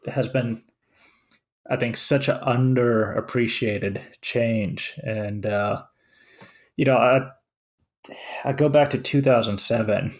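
A man's voice: medium pitch, steady tone, slow, long pauses. A man in his forties from Finland, co-hosting a casual podcast chat and speaking hesitantly with many fillers and restarts. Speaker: co-host.

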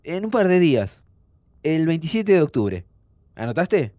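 A man's voice: a little high, very animated, measured pace, dark tone, dry recording, studio quality. An actor playing a playful, wisecracking young man with an Argentine accent, bantering like a loyal best friend in an audio drama. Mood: joking, casual. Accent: Argentine Rioplatense. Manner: bantering, joking through emotional moments. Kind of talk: naturalistic audio fiction acting.

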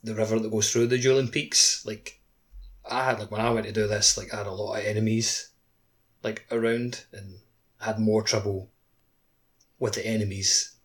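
Low-voiced man chatting haltingly. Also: level tone. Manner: halting, loose phrasing peppered with "like". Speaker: podcast co-host. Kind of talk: casual gaming podcast chat.

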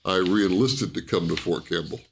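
Low-voiced older man from New York. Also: normal speed, slight background noise. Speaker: guest, retired soldier. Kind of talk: casual podcast interview.

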